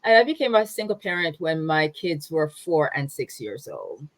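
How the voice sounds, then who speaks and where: A woman's voice: low-pitched, very animated, normal speed, no room sound. A woman in her fifties with a Jamaican accent, a nonprofit founder speaking in a conversational interview, reflective, with frequent fillers and restarts. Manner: warm, reflective, many fillers and restarts, laughs easily.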